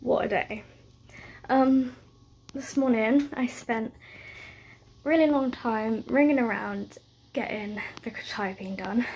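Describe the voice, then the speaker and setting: A woman's voice: on the high side, darkish tone, a little hiss or hum, low-quality recording. A young British woman vlogging at home in a rambling, chatty way, stressed and close to tears. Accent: British English. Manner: rambling, chatty, with many fillers and run-on stories. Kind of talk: casual chat to camera. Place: at home, indoors.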